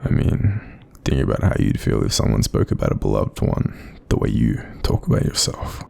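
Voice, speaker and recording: A kindly Australian sleep paralysis demon, acted by a man, with an extremely low voice full of heavy creak. He soothes and reassures in an informal, gentle way, and his husky delivery rises and dips freely as in an intimate roleplay audio. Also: sing-song, normal speed, exaggerated voice. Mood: soothing, reassuring. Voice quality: very deep, gravelly, breathy. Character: kind, supportive male sleep paralysis demon watching over someone.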